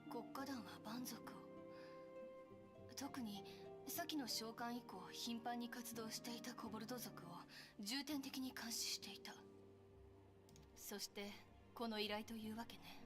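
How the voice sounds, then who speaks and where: An actress plays a knowledgeable, composed woman in a video game. Her voice is fairly high and she briefs her allies seriously at a quick pace. Prominent music plays behind her. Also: fairly even intonation, natural voice. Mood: serious, explanatory. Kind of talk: Japanese video game voice acting, expository.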